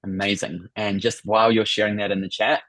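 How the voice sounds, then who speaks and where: A middle-aged man with a New Zealand accent, warmly hosting an online workshop over a video call with effusive welcomes. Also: fairly low pitch, level tone, brisk, no echo, rough recording. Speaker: business coach and workshop host.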